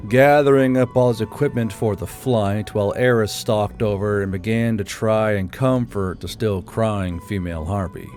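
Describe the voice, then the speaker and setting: A man's voice: medium pitch, darkish tone. A man reading scripted audiobook fiction as its narrator. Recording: music under the voice.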